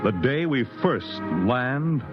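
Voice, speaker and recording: A man's voice: mid-range voice, animated, slow pace. An actor playing a solemn, grave male narrator of a space tale in dramatic old-time radio style. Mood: solemn, portentous. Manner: portentous, grave. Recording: dull old radio recording, loud music.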